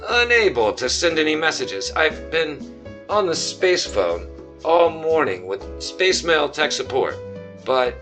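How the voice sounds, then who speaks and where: A man playing a self-important, dim starship captain, theatrical and blustering, in a comic sci-fi radio serial. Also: on the high side.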